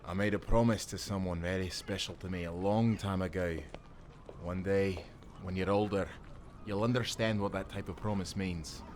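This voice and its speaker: A man playing a kindly old seafaring grandfather in an audio drama, speaking calmly and reassuringly. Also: deep voice, normal speed, slight background noise, close to an everyday voice. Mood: calm, firm. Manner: calm, reassuring, folksy. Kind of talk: cinematic audio roleplay acting.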